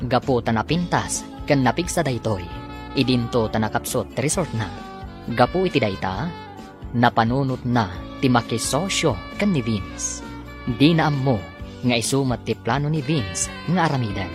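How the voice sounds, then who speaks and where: Female radio drama announcer, Ilocano accent, very low register, animated delivery over prominent music. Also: moderate pace. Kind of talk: scripted radio drama narration. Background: music bed.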